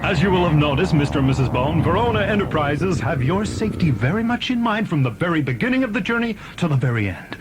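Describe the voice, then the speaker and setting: A man playing an obsequious young luxury train steward in an audio drama, with flattering salesman-like patter. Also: fairly high pitch, fast talker, hardly any pauses. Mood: smooth-talking, officious. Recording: noisy cassette-era audio drama.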